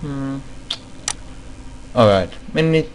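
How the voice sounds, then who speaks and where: A man's voice: mid-range voice, animated, extremely slow, slight background noise, low-quality recording. A man who is not a native English speaker, giving a screen-recorded tutorial on building game mods. He talks hesitantly, with many fillers and repeated words. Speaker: game modding tutorial maker.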